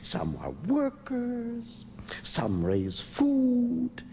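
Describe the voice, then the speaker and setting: A man acting in a radio drama as a serene, haughty alien ruler of the moon, deliberate and imperious, with coolly menacing undertones. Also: very high-pitched, wildly swooping pitch, gravelly, dark tone, in a large hall, a little hiss or hum, full character voice. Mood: serene, superior. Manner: slow, deliberate, imperious, with musing hums and chuckles. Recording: music behind the voice.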